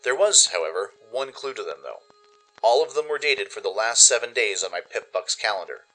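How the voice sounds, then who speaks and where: A man giving expressive, dramatic first-person narration for a radio play. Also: on the low side, brisk, rough recording. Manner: expressive storytelling. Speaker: narrator and producer.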